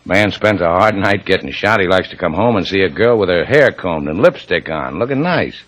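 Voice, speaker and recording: An actor playing a tough, laconic, sardonic boat skipper with an American accent, in the style of old radio drama. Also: male voice, deep voice, expressive, moderate pace, a bit bright. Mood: gruff, brisk. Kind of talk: 1940s-50s American radio drama acting.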